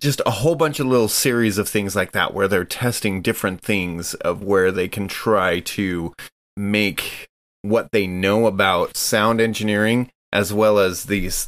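A man playing a tabletop game, casually improvising a description of what his character does, haltingly, searching for words. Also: on the low side, fairly bright tone.

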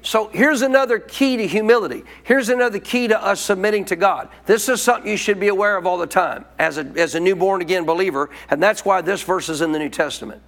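A man with a high-pitched voice preaching a sermon. He teaches as a pastor in a loose, colloquial way. He keeps prompting the congregation to answer back. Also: fast, few pauses, a bit bright. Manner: call-and-response preaching, colloquial.

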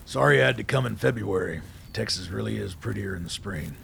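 A man playing a seasoned, plain-spoken army colonel in a radio drama, gravelly, measured and dryly understated. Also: fairly low pitch, a bit bright, a little hiss or hum. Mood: dry, relaxed.

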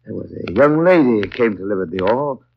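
An actor playing a garrulous rustic English local, telling grim news in a halting, folksy way in 1930s radio drama style. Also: male voice, fairly low pitch, expressive, vocal fry, a little hiss or hum. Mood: gossipy, dramatic. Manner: folksy, halting storytelling with repeated phrases. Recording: dull, lo-fi 1930s radio broadcast.

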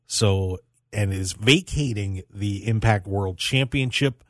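A man's voice: fairly low pitch, takes it slowly, no echo, clean studio sound. A man hosting a sports talk radio show in a casual, conversational way, addressing his listeners directly.

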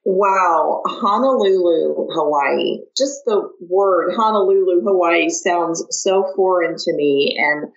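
A woman's voice: on the low side, takes it slowly, few pauses, lo-fi sound. A woman from the American Midwest with an American accent, hosting a conversational podcast interview. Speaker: podcast host.

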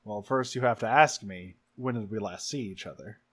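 A man improvising as a player in a tabletop roleplaying game, deliberate and pausing mid-sentence to think. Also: fairly low pitch, fairly bright tone, slightly rough.

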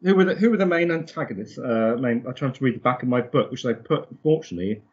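Husky man, rambling hesitantly. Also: medium pitch, expressive, fast, darkish tone, highs cut off. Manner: hesitant, rambling, searching for words. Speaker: podcast co-host. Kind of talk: casual book recap chat.

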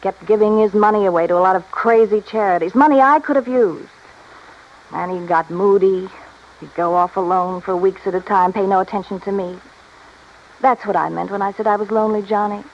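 A vintage radio drama with a muffled sound and faint hiss. An actress plays a discontented, money-minded wife. Her voice sits at a middle pitch, plaintive and confessional, with small hesitations. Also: a little fast, natural voice. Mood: confessional, bitter. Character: discontented, self-pitying, mercenary wife, a former beauty. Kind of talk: 1950s-60s radio drama acting. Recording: dull, faintly noisy old radio recording.

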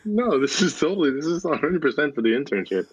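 A man playing a cocky, creepy company executive running a deadpan internship interview in an improvised comedy podcast. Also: on the high side, quick pace, breathy edge, no room sound, rough recording. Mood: sleazy, insistent.

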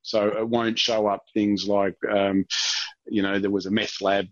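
A man's voice: on the low side, flat delivery, unhurried, dry recording, highs cut off. An Australian man working as a real estate agent, explaining things conversationally as an interview guest.

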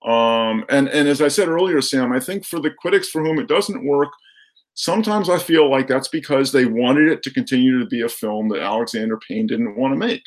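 A man of average pitch talks through his views on film as a guest commentator. He speaks in a measured, hesitant way, often qualifying what he thinks. The style is conversational and thoughtful.

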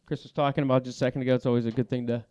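A man's voice: medium pitch, flat delivery, very fast, darkish tone, dry recording, muffled recording. A man hosting a casual fly fishing podcast from a small studio, laid-back with lots of filler words and a voice that carries. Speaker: podcast host.

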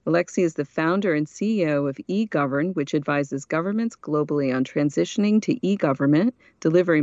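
A woman hosting a podcast, presenting and asking questions in a polished, measured way. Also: sits low, vocal fry, darkish tone, no echo, muffled recording. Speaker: podcast host. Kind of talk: scripted introduction and interview.

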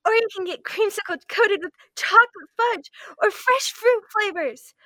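A woman's voice: very high voice, normal speed, bright, forceful tone, no echo. A young woman playing a perky, wholesome kid selling sweets in an old-time radio commercial. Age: young adult.

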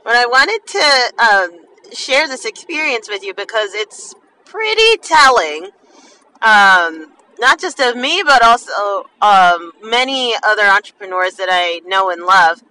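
A woman hosting a podcast in a casual, expressive monologue, with a slight lisp and slurred enunciation from a half-numb mouth. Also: mid-range voice, measured pace. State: half the mouth numb after dental work. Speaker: podcast host. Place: inside a car while driving. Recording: distant mic, faint vehicle noise. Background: faint car driving noise.

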